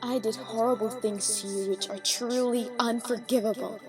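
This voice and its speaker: A woman's voice: on the high side, steady tone, normal speed, natural voice. A woman playing an earnest, apologetic female pony in an audio drama. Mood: regretful, earnest. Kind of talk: fan audio drama acting. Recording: music behind voice.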